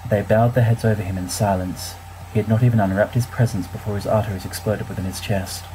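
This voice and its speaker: A man plays a bookish teenage schoolboy with a very mellow, soft-edged voice. He reads his letters with dry, wordy, mock-solemn wit. It is an amateur story recording with faint noise behind him. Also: fairly low pitch, steady tone, quick pace, lightly acted, low-quality recording. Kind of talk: reading letters aloud in character, audiobook-like fan recording.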